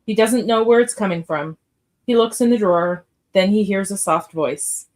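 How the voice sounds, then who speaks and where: Woman narrator reading stage directions, normal pitch, live script read. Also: moderate pace, darkish tone.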